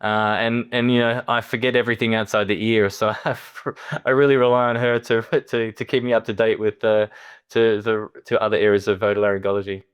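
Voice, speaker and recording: Low, smooth-voiced Australian man. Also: level tone, dull audio. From Perth, Western Australia. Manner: halting, often repeats words and restarts. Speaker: interview guest, surgeon. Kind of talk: conversational podcast interview.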